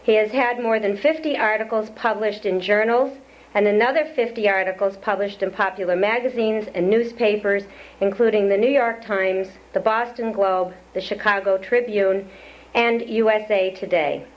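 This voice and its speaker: A woman's voice: mid-range voice, fairly even intonation, normal speed. A woman acting as event host, introducing a guest speaker with personal asides before reading his credentials. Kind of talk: formal introduction. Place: community center hall. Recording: lo-fi 1992 lecture tape.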